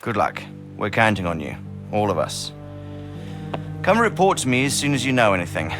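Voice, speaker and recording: An actor playing a steady, fatherly and commanding medieval lord in a dramatic game cutscene. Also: on the low side, over music. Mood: trusting, commanding. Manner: measured, authoritative, encouraging.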